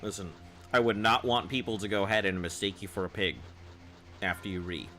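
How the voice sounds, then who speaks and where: A man with a baritone voice chatting casually with viewers on a live game stream, in a loose, rambling way. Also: fairly quick, fairly bright tone, faint music behind. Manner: rambling, loose, chuckling at chat.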